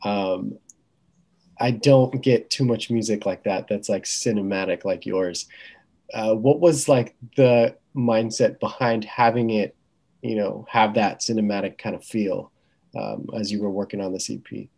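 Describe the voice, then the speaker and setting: A man's voice: lowish voice, steady tone, measured pace, creaky, dark tone. A man hosting an interview, asking loose, rambling questions full of likes and you knows.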